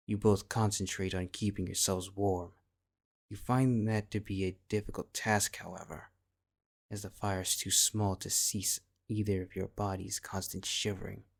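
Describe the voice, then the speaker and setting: A man's voice: sits low, creaky. A man reading scripted second-person fantasy fiction as the narrator.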